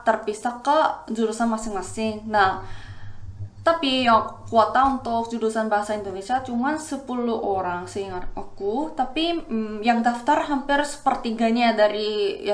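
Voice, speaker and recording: A woman's voice: a little high, echoey room. A woman in her twenties from South Korea, a native Korean speaker with a Korean accent, explaining things casually as a student vlogger. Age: 20s. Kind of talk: casual explanatory vlog talk.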